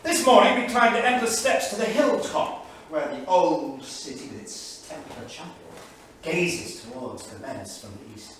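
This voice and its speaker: An actor playing an anguished, indignant 1930s English diarist, emphatic and declamatory, in a staged reading. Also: male voice, fairly high pitch, lively intonation, normal speed, close to an everyday voice. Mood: grave, weary. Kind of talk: dramatic staged reading of a journal. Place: small theatre studio. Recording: echoey theatre, distant mic, faint noise.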